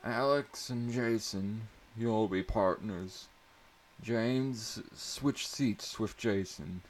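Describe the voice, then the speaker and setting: A man's voice: on the low side, very slow, slight background noise, voice pushed up, rough recording. Man putting on a bossy, sardonic woman teacher. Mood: irritated. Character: irritated, sardonic woman schoolteacher, theatrical and bossy. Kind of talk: dramatic reading of a story.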